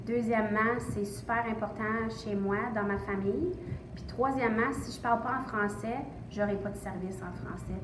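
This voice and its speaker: A woman with a Canadian French accent lists her reasons in a breezy, casual way. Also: mid-range voice. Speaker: interviewee. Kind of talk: vox-pop testimony. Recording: roomy, noisy recording.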